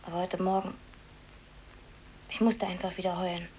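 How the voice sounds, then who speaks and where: An actress playing a hurt, downcast office secretary who speaks in a subdued, halting way in a 1950s German radio play. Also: female voice, fairly low pitch, flat delivery, a little mellow, lightly acted, poor audio. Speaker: radio drama actor. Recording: hissy 1950s radio recording.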